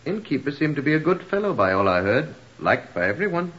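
Wordy tippling brit, droll and morbid, very animated pitch, muffled old radio play. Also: male voice, brisk. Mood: breezy. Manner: droll, wordy, mock-literary. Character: flippant, garrulous, macabre-humoured man fond of drink. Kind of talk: old-time radio drama acting.

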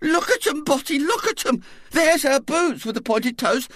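A woman reader voicing a fussy, tearful middle-aged woman who wails in a high, shaky voice. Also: lively intonation, normal speed, fairly bright tone, no echo, clean studio sound. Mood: tearful wailing.

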